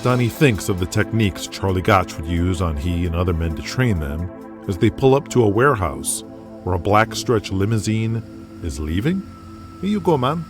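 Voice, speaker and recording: Low-voiced man narrating a drama over music. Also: lively intonation, moderate pace. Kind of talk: dramatic scripted narration in present tense.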